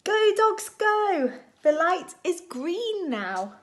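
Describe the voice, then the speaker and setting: A woman reading a picture book aloud to young children in an expressive, deliberate storytime style. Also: extremely high pitch, a little hiss or hum. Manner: expressive, animated, deliberate phrasing for small children.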